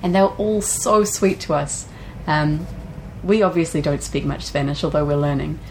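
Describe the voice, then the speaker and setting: A woman's voice: deep voice, steady tone, normal speed, a little hiss or hum, low-quality recording. A woman co-hosting a travel podcast who talks in a chatty, enthusiastic way. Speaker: podcast co-host. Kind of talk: casual travel chat.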